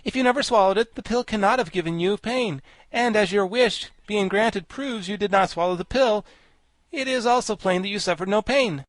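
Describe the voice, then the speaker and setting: A man voicing a pompous, highly educated giant insect in a storybook, declaiming pedantically and emphatically. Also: moderate pace, no room sound, close to an everyday voice. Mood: emphatic, smug. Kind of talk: storybook character voice.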